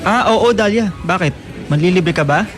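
A man playing a friendly, gentle high school boy with a casual, teasing way of talking in a radio drama. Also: normal speed, lightly acted. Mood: playful. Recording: music under the voice.

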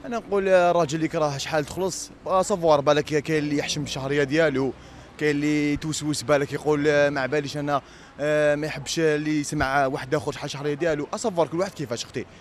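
A man's voice: on the high side, fairly even intonation, racing. A man in his twenties with an Algerian accent, giving casual answers in a street interview. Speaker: passer-by being interviewed. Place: outdoors on a busy city street. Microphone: handheld microphone. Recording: faint street noise.

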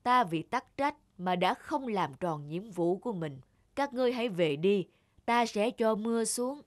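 A man's voice: sits high, lively intonation, measured pace. A man voicing a pompous old Jade Emperor in a folk-tale cartoon, imperious and declamatory. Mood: solemn, remorseful. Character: pompous old male Jade Emperor, stern but remorseful. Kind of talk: animated folk-tale dialogue. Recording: loud music underneath.

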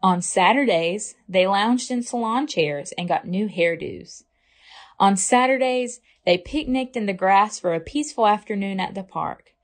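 A woman reading a children's picture book aloud as a library storyteller, with expressive, deliberate phrasing. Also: medium pitch.

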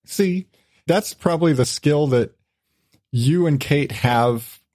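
A man's voice: on the high side, slow pace, darkish tone, no echo. A man speaks as a podcast host in a very dry recording with no room sound. His talk is casual and rambling, feeling its way through each thought with frequent rewording. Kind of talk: casual conversational podcast chat.

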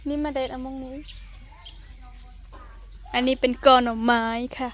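High-pitched Isan woman, chatting casually. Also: fairly even intonation, unhurried, fairly bright tone. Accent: Northeastern Thai (Isan). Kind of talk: casual chat.

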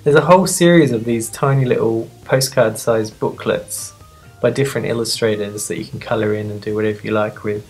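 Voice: medium pitch, steady tone, brisk, a little mellow. Sniffly, rambling magazine reviewer over music. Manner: rambling, playful, self-deprecating. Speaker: magazine reviewer and video host. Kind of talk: casual off-the-cuff review chat.

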